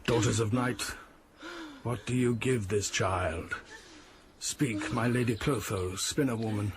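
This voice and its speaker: A man's voice: fairly low pitch, slow pace, noisy background. A man playing a proud, commanding ancient Greek hero in a historical audio drama, declaiming with hunger for fame. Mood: solemn, commanding.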